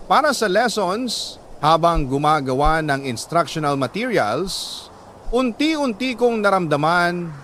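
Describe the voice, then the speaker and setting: A man with a Filipino accent reads a letter as a dramatic first-person story, in a measured, emotive way with drawn-out pauses. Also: on the high side, fairly bright tone, slight background noise. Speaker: radio storyteller reading a listener's letter.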